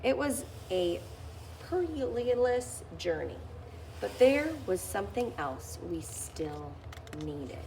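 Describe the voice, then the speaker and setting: A woman's voice: mid-range voice, very animated, slow, dark tone, slight background noise, low-quality recording. A woman reading a children's picture book aloud, lifting her voice expressively on key phrases. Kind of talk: read-aloud storytelling.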